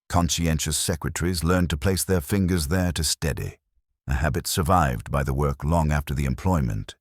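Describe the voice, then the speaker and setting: A man narrating a literary detective story as a solo reader, in a measured, dry and wryly formal manner. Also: very deep voice, no room sound. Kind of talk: scripted literary narration of a mystery drama.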